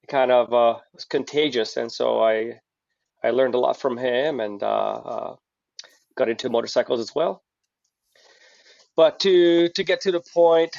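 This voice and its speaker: A man's voice: medium pitch, gravelly, no echo. A middle-aged man from Hungary, chatting as a guest on a podcast and telling long stories with lots of restarts. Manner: chatty storyteller with frequent restarts. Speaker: guest, company founder. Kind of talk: casual podcast interview. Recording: dull lo-fi dry audio.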